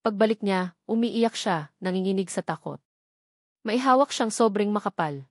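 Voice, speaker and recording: A woman narrator plays an earnest, supportive aunt in an audio drama. She recounts what she saw deliberately, in a mid-range voice with a dry, close sound. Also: medium pitch, animated, dry recording, lightly acted. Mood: earnest, grave.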